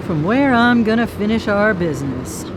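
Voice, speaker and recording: An actress playing a brazen, needling hitchhiker turned vigilante avenger in a live radio drama, sardonic and in control. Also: female voice, medium pitch, animated, moderate pace, background noise, lightly acted. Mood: mocking, commanding. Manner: taunting, sardonic, cool and in control.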